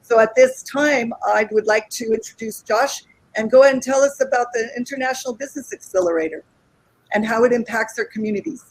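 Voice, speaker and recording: A woman's voice: a little high, steady tone, brisk, rough recording. A woman hosting an event, thanking and introducing speakers in a partly scripted style. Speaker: event host.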